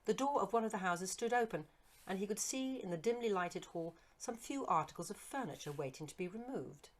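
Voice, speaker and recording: A woman reading a Victorian horror story as scripted narration, in an expressive, literary storytelling manner. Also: mid-range voice, brisk. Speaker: narrator, audiobook reader.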